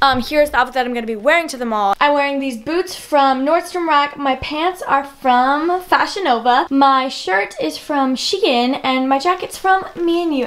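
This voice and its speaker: A teenage girl vlogging to a handheld camera held close to her face, chatting in quick, bubbly bursts at home, in a car and at a mall. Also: high-pitched. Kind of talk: casual vlog talk to camera.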